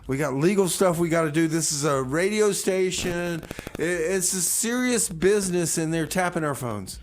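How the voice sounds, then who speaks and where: A man with a fairly high voice hosts a comedy radio show, playing a scrappy survivor in a bunker. He rambles excitably in casual banter, with some background noise behind him. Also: moderate pace. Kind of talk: improvised comic banter, casual radio chat.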